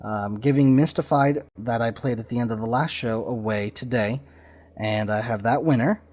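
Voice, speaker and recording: A man with a mid-pitched voice hosts a podcast in a casual, slightly rambling style. He talks informally through his introductions. The recording is a little dull and lo-fi. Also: normal speed, darkish tone. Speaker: podcast host.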